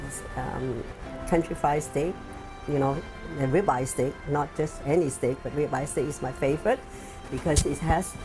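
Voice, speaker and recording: A woman restaurateur with a Hong Kong Chinese accent, speaking in a documentary interview and leaning on lots of fillers as she pauses and restarts. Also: low-pitched, low-quality recording. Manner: halting, many fillers.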